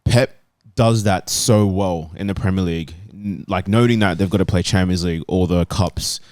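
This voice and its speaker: A man's voice: deep voice, moderate pace, darkish tone, no echo. A man giving casual football analysis on a podcast.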